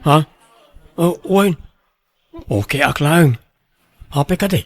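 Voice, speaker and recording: A man's voice: fairly high pitch, animated, slow, no room sound, heavily acted. An actor playing a humble, insecure young working man in love, earnest and hesitant, in an Ilocano radio drama. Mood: nervous.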